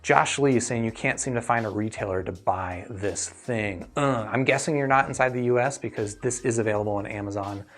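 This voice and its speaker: A man's voice: on the low side, a little fast, few pauses. A man hosting a live stream in a casual, conversational way, thinking aloud as he answers viewers. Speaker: host of a livestream reviewing earphones.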